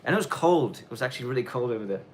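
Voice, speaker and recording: A man in his thirties from Australia, with a mixed Australian and London accent and a touch of Irish twang, chatting casually as he answers viewer questions on a live stream, often restarting sentences and taking care to speak clearly. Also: mid-range voice, lively intonation, slight background noise. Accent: mixed Australian and London, with some Irish twang. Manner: rambling, self-correcting, deliberately clear delivery.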